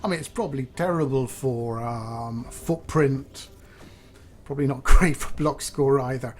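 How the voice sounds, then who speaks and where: A slightly hoarse man, thinking aloud in chatty, self-deprecating gameplay commentary as host. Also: mid-range voice, measured pace. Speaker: let's play host.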